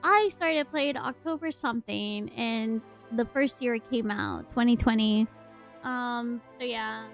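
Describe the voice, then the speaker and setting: A woman's voice: a little high, unhurried. A woman game streamer giving casual live reactions and commentary as she plays. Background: game music.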